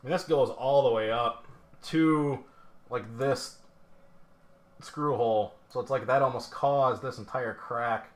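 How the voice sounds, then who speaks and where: A man's voice: medium pitch, a little slow. A man in casual, rambling live-stream talk, full of fillers and restarts, showing a pinball playfield up close on camera. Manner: rambling, lots of fillers and restarts, self-deprecating. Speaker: pinball restorer streaming a teardown.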